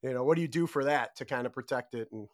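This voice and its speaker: A man's voice: medium pitch, quick pace, no echo, studio quality. A middle-aged man hosting a podcast, asking loose, rambling questions full of "you know" in a casual interview. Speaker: podcast host.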